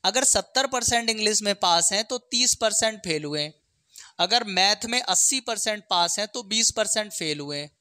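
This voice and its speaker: A maths teacher takes learners through exam problems one step at a time. The voice is bright and sharp, recorded dry with little room sound. Short questions punctuate the explanation to check that listeners follow. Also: mid-range voice, animated, normal speed, dry recording.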